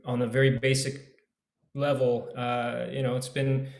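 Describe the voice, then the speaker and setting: A man speaking casually on a panel in a halting, thoughtful manner, as an actor introducing himself. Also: medium pitch, monotone, creaky. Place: indoors on a video call. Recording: echoey room sound.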